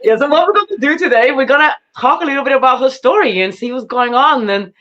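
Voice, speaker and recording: Excitable woman podcast host, dull lo-fi audio. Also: sits high, fast. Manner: excitable, informal. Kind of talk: casual live interview chat.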